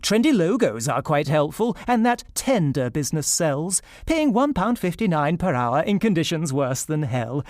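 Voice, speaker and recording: A man's voice: on the high side, big pitch swings, moderate pace, hardly any pauses, darkish tone, no room sound, studio quality. A male actor playing a smug, callous government spokesman, pompously reciting satirical verse in a theatrical, declamatory style. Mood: smug, grandiose.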